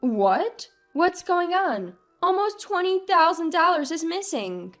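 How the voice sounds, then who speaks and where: Indignant wife in a story drama, played by a woman, high-pitched, animated, demanding answers. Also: a little slow, faint music behind. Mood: shocked, demanding. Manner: emphatic, questioning, argumentative. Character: indignant, exasperated young wife standing up for herself. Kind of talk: acted dialogue in a narrated family drama story.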